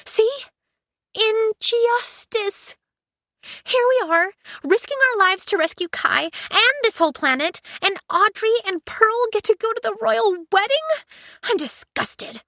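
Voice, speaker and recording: A woman voicing a female spaceship AI who is indignant, dramatic and quick to squeal. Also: very high voice, animated, bright, forceful tone, no echo, studio quality, voice pushed up, heavily acted. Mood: excited. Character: excitable shrill female ship AI.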